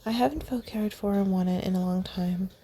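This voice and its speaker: A woman's voice: mid-range voice, moderate pace, dark tone, breathy edge. A woman voicing a shy, emotional young woman who speaks in a hesitant, shaky way, in a storybook reading. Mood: tearful, hesitant.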